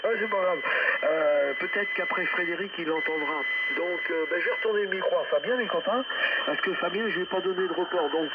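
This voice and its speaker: A man talking courteously and chattily as an amateur radio operator in a casual exchange over shortwave radio. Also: fairly high pitch. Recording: noisy, muffled distant shortwave radio reception. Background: loud radio static.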